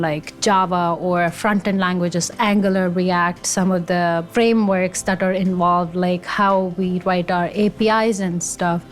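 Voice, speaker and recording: A woman in her thirties from India, an automation engineer, talking about her career in an enthusiastic, conversational way. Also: medium pitch. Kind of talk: career interview monologue.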